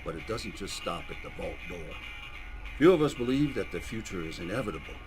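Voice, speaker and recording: A man playing a gruff, patriotic, hot-tempered coach who speaks like a recruiter in a video game. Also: low voice, big pitch swings, brisk, over music. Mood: earnest, rousing. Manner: rousing, commanding, profane.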